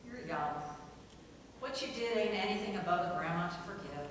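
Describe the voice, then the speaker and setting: A woman's voice: lowish voice, animated, normal speed, close to an everyday voice. Echoey, distant, blunt older grandmother played by a woman. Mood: dry, blunt. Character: brusque, world-weary grandmother working beside a young colleague. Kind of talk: table reading of a stage play. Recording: very echoey hall from far off, faint noise.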